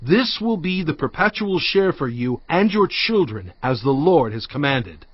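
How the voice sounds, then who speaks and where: A man's voice: a little high, sing-song, a little slow, dry recording, muffled recording. A man playing a stern, commanding prophet in a dramatised audio Bible, speaking in a solemn, emphatic, declamatory way. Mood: instructing. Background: background music.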